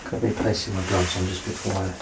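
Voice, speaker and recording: Soft-spoken deep, creaky man, ASMR doctor. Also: little pitch movement, fast. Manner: gentle, reassuring, self-deprecating, often near a whisper. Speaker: ASMR roleplayer playing a doctor. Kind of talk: soft-spoken ASMR medical exam roleplay. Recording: clear background noise and ambience.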